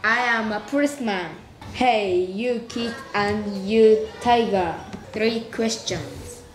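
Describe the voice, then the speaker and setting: A woman voicing an officious policeman puppet who questions formally and deliberately in an amateur scripted puppet show. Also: mid-range voice, lightly acted. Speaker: student puppeteer. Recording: roomy, echoey, noisy amateur recording. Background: noisy room ambience.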